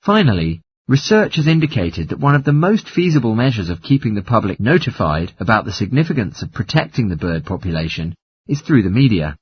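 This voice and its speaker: A man reading a scripted academic lecture in a formal, measured manner. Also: mid-range voice, husky. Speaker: lecturer in a listening test recording.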